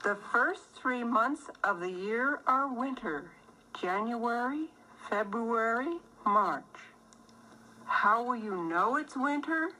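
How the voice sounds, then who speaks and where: A woman reading a rhyming children's storybook aloud, phrasing it deliberately and clearly as scripted narration. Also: a little high, animated, sharp tone, slight background noise, low-quality recording. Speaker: storybook reader.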